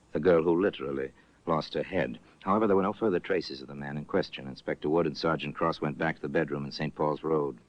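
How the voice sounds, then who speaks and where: A man narrating a radio crime drama in a measured, grave manner. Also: a bass voice. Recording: muffled old radio broadcast.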